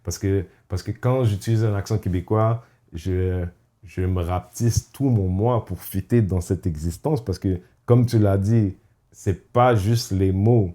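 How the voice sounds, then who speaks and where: Deep, mellow-voiced man musing on a podcast. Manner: reflective, halting, many restarts, mixes English phrases. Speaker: podcast host. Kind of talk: casual chat.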